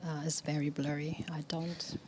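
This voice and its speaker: A woman in a reflective group conversation, speaking thoughtfully with frequent pauses and a voice that often trails off softly. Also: sits low, little pitch movement, noisy background. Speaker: discussion participant. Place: exhibition hall in a museum.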